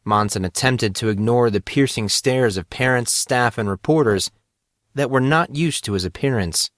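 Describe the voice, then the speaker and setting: Man for scripted fiction narration, medium pitch, very little room sound. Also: normal speed, a bit bright, dry recording, studio quality. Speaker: audiobook narrator.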